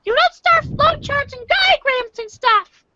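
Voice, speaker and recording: A woman's voice: very high-pitched, lively intonation, unhurried, sharp tone, exaggerated voice. A woman voicing an irate, condescending, foul-mouthed young male alien troll in an amateur reader's theater, ranting in exasperation. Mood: exasperated ranting. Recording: dull lo-fi home recording, faint noise.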